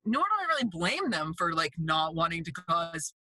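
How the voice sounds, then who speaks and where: A woman's voice: on the low side, sing-song, normal speed, bright, forceful tone, no room sound. A woman from Canada with a Canadian accent, chatting casually as an interview guest. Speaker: guest, mixed media artist.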